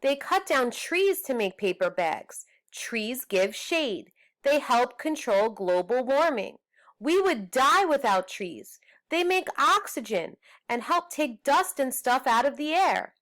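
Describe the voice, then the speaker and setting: Woman voicing an indignant, high-pitched bossy young girl. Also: big pitch swings, slow, fairly bright tone, exaggerated voice. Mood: exasperated.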